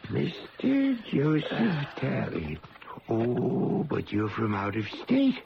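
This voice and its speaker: Fussy, swooping, creaky shopkeeper. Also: male voice, medium pitch, wildly swooping pitch, slow, noisy background, exaggerated voice. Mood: unctuous. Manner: unctuous, fussy, singsong. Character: fussy, obsequious male silver shop dealer. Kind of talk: 1970s radio drama acting. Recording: muffled old radio recording, music behind.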